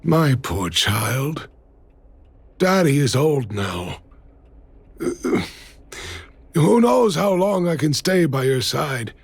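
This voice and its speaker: An actor voicing a weary, gentle old miner and father in a video game, hesitant and sighing, with a gravelly, husky voice. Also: a little high, big pitch swings, extremely slow, pauses often, no room sound, full character voice. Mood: tender, sad. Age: older.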